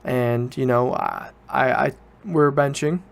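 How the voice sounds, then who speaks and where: A man's voice: mid-range voice, fairly even intonation, a little slow, vocal fry. A young man, student age, talking casually and informally as a solo podcast host, rambling and correcting himself as he goes. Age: teens to young adult student.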